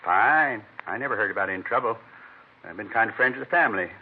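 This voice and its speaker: An actor playing an agitated, over-eager middle-aged American neighbor at a crime scene, in 1950s radio drama style. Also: male voice, on the low side, expressive. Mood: chatty, earnest. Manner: anxious, eager, repetitive, halting phrases. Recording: muffled, noisy old radio recording.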